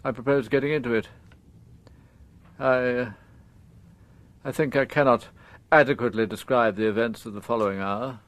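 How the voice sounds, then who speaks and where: A man playing a dignified, middle-aged upper-class father in a radio drama, confessing in formal, halting, precise phrases. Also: medium pitch, fairly even intonation, fairly bright tone, natural voice. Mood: rueful, halting.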